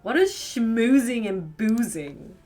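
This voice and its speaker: A woman with a Wisconsin accent from the United States, chatting casually with viewers as a live streamer and firing quick questions back at them. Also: fairly high pitch, lively intonation, a little mellow, soft background music. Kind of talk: casual chat answering viewers.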